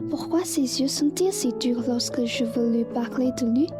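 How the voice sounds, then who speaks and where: A woman's voice: high voice, normal speed, music in the background, natural voice. A woman playing an anxious, dreamy, lovesick rich young woman in a student radio play. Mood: fretful.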